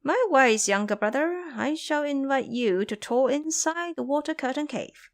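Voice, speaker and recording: A proud, hot-tempered monkey king from a fantasy audio drama, performed by a woman. He sounds boastful, playful and used to command. The pitch is raised and very lively. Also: normal speed. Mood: teasing, gracious.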